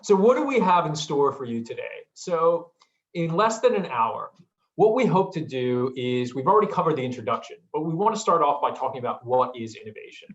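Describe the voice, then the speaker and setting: A man with an American accent presenting an online business workshop, pausing often with ums and asking the audience questions. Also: a little high, very animated, lo-fi sound. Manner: hesitant with frequent ums, asks the audience questions. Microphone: video call.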